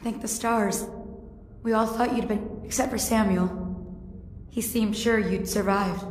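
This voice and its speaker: A woman playing a frightened, earnest servant in a game, gentle and anxious. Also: medium pitch, fairly even intonation, a little slow, natural voice. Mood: frightened relief. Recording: echoey, faint background noise.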